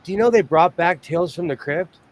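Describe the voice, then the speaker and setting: A man's voice: high-pitched, brisk, a little hiss or hum. A man hosting a casual live sewing chat, rambling and self-deprecating as he tells stories. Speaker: host.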